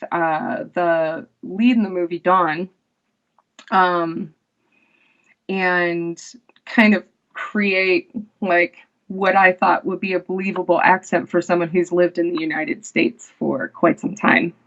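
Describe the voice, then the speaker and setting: A woman actress answers interview questions in an unhurried, rambling way, pausing on fillers and restarting her sentences. Her voice sits fairly low and she speaks slowly. The recording is dull and lo-fi. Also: steady tone. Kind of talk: casual interview chat.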